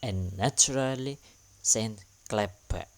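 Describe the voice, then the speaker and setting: Brief: male recap narrator, low and unhurried, matter-of-fact delivery. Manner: plain, matter-of-fact, broken English. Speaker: channel narrator.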